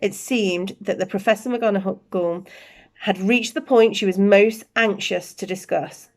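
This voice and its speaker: A woman narrating a bedtime story in an expressive storytelling style. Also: mid-range voice.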